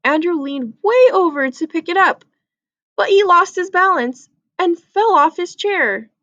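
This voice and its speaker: A woman's voice: very high voice, expressive, measured pace, a bit bright, no echo, lo-fi sound. A woman reading a children's book aloud as a storyteller.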